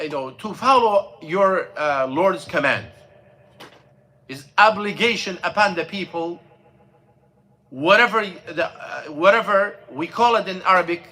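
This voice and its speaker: A man, an imam, giving an informal mosque lesson on scripture, halting and searching for words, with non-native English grammar and frequent fillers. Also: a little high, sharp tone, poor audio. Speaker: imam teaching a class.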